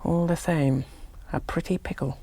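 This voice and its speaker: An actor playing a rational, jaunty young English army gentleman in a radio drama, musing aloud in a clipped, wry English accent. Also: fairly high pitch, steady tone, moderate pace, no room sound, lightly acted. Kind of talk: 1970s radio drama monologue.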